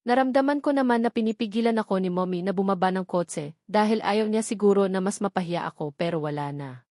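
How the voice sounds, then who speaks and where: A woman's voice: medium pitch, a little fast, darkish tone, no echo, clean studio sound. A woman with a Filipino accent reading a dramatic first-person novel as an audiobook narrator.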